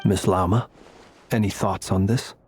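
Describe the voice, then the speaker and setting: A man voicing a courteous, shrewd gentleman investigator in an anime game, polished and formal. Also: low voice, steady tone, unhurried, soft background music. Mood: inquiring.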